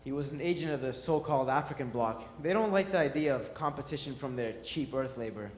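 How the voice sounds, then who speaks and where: A man playing a callous, taunting henchman in a radio drama, gruffly giving orders. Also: mid-range voice, expressive, quick pace, lightly acted. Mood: sardonic. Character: callous, mocking male henchman with a gun. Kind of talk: staged radio drama performance. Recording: echoey hall, faint noise.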